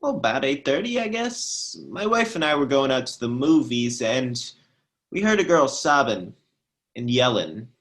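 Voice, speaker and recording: A man's voice: medium pitch, very animated, moderate pace, fairly bright tone. A man playing an earnest, folksy neighbor testifying in a radio play, plain-spoken and deferential. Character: earnest, folksy married male neighbor as witness. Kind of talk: radio play courtroom acting.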